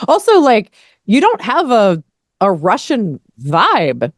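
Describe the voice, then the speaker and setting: Podcast host, giggly and quick with a joke, very lively pitch, dry close sound. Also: no echo. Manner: laughs often, quick joking replies. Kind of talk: casual podcast chat.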